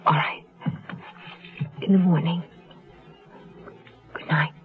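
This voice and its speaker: An actress playing a guarded wife calmly covering up a killing, in a husky voice in a radio drama. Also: female voice, sits low, slow, a little hiss or hum. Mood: frightened, hushed. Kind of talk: 1970s radio drama acting. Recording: dull lo-fi radio drama recording.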